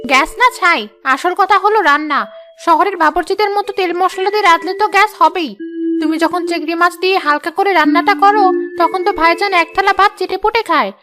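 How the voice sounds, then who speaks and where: A woman voicing female family characters in a scripted cartoon, with expressive, dramatic delivery. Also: very high-pitched, fast, faint music behind. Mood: fussy, doting. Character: women of a family cartoon: fussy aunt, anxious young bride.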